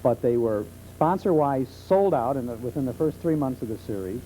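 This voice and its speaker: A middle-aged man being interviewed as a talk show guest, explaining things conversationally with hesitant pauses. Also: medium pitch, animated, mellow. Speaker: talk show guest, old-time radio enthusiast. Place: television studio. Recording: muffled 1970s television tape with hum.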